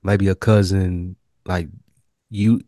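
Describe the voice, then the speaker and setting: A man's voice: low-pitched, little pitch movement, slow pace, dark tone, no room sound, studio quality. A man co-hosting a casual podcast, asking questions in a playful way. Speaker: podcast co-host. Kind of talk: casual chat.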